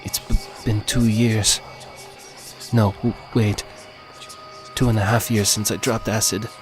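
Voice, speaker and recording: A man's voice: lowish voice, level tone, a little slow, music in the background, voice pushed up, lightly acted. A man voicing a frightened, foul-mouthed young Texan woman in an audio story, stammering and sarcastic as she shivers. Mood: disbelieving, rattled.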